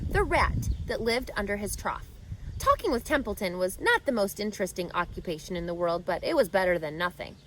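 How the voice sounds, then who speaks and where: A woman's voice: medium pitch, slight background noise, rough recording. A woman narrating a children's book expressively as a read-aloud host, speaking directly to young listeners.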